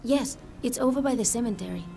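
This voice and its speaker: A woman's voice: a little high, quick pace, vocal fry, warm tone. An actress playing a confident, wry local woman researcher in video game dialogue.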